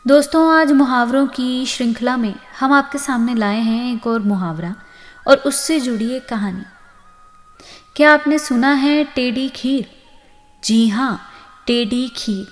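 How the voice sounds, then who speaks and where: A woman narrating a scripted moral story as host, with an expressive storytelling delivery. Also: a little high. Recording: long reverb on the voice.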